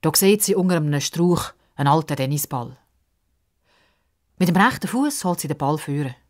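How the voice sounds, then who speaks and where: A woman's voice: low-pitched, expressive, moderate pace, a little mellow. A woman narrating a scripted story for children.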